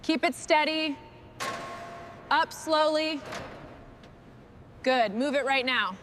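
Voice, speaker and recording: A focused female crew boss acted by a woman gives short, instructing orders in a workshop drama. Her voice sits fairly high, and clear machinery noise runs behind it. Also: takes it slowly. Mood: urging, careful. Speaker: crew leader in a truck restoration.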